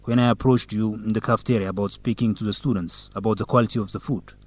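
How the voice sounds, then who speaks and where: A man's voice: on the low side, steady tone, fast, lightly acted. A male actor playing a worried, earnest man explaining and defending himself in a radio drama. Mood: apologetic.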